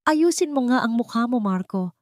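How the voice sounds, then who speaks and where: A woman's voice: fairly high pitch, expressive, normal speed, darkish tone, dry recording, voice pushed up, lightly acted. Scheming older matriarch, played by a woman narrator, audiobook melodrama: commanding, contemptuous, emphatic, dry close sound. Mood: stern, contemptuous.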